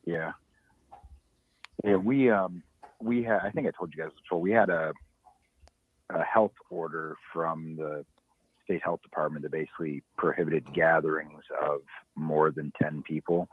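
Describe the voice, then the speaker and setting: A man's voice: fairly even intonation, unhurried, pauses often, husky, dry recording. A man calling in to a podcast, talking casually in a rambling, hesitant way with many restarts. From Ohio. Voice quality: low voice. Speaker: caller. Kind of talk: casual phone-in chat. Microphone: phone. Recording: dry tinny phone line.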